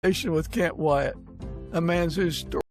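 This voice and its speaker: A man hosting a conversational podcast, with halting, gentle phrasing. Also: fairly high pitch, fairly even intonation, vocal fry, soft background music. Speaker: podcast host. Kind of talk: conversational interview and informal prayer.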